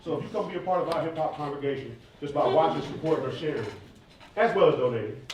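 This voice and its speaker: A man's voice: a little high, lively intonation, fast talker, darkish tone. A man in his thirties, a rapper speaking informally and emphatically to students, repeating phrases as he answers questions. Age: 30s. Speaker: rapper and stage performer answering audience questions. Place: roomy indoor campus event space. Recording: echoey room, distant mic, faint noise.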